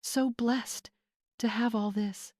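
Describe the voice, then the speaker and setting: A woman's voice: fairly high pitch, fairly even intonation, slow, a little mellow, no echo, studio quality, voice pushed up. A woman voicing a spoiled, bossy young bride influencer in a narrated story, snapping, scornful and entitled. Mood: smug.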